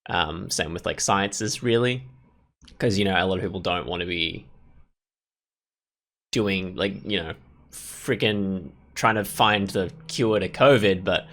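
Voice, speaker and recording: A man with a fairly low voice hosts a casual let's play. He thinks out loud, rambling and stopping and starting as he goes. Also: slight background noise. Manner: rambling, halting, thinks aloud. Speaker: gaming video host.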